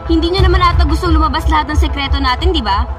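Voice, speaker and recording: Cold, high-voiced teenage girl ringleader, acted by a woman. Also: rapid-fire, over music, natural voice. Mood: threatening. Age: young adult. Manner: sneering, threatening. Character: Kate, cold menacing teenage schoolgirl ringleader. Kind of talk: student radio drama acting.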